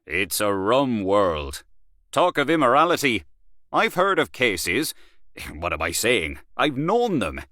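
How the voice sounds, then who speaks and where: A man voicing a boastful, worldly Irish male journalist who talks loud and swaggering. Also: mid-range voice, wildly swooping pitch, slow pace, dry recording, studio quality, voice pushed up, heavily acted.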